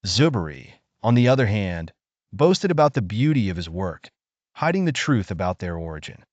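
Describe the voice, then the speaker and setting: A man narrating a scripted folktale in a measured, expressive storytelling manner with deliberate phrasing. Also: on the low side, no room sound, lo-fi sound.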